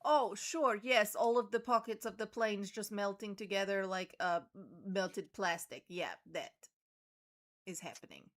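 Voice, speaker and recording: A woman's voice: medium pitch, unhurried. A woman chatting casually as a player in a tabletop game, with a playful, joking manner.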